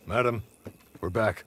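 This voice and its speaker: An actor playing a courteous, unflappable manservant and gunman in a video game, speaking in a formal, measured, dryly menacing way. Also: fairly low pitch, a bit bright, a little hiss or hum. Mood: businesslike, low. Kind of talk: scripted video game cutscene acting. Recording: music and nature sounds behind.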